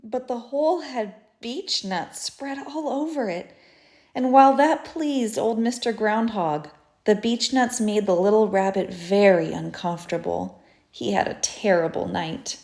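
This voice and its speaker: A woman reading a children's story aloud in an expressive, deliberate storytelling style. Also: medium pitch. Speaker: storybook reader, teacher. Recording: roomy echo.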